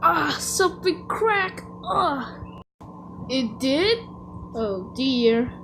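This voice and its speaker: A woman's voice: high voice, lively intonation, very slow, noisy background, full character voice. A woman voicing an earnest, kindly but anxious steam engine character from a children's cartoon, with a fretful, exclamatory delivery. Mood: worried, weary. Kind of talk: animated children's series character lines.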